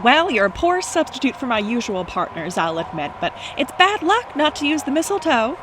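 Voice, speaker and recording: A woman's voice: sits high, sing-song, moderate pace, noisy background. A woman playing a blunt, wisecracking house guest in an audio drama, with a dry, wry way of talking. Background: music.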